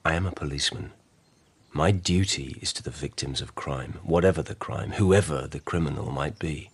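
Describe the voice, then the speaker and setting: A very deep, hoarse-edged old lawman of an imperial court in a radio play. He is grave and deferential, choosing his words with formal care. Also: moderate pace, heavily acted. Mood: grave, calm. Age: older. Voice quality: gravelly, husky. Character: grave, dutiful ageing Japanese court policeman, physically frail. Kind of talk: radio drama acting.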